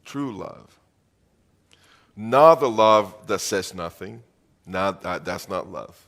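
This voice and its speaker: A man's voice: low-pitched, expressive, slow pace, a bit bright. A middle-aged man from Venezuela preaching a sermon as pastor, earnest and emphatic, repeating phrases for weight.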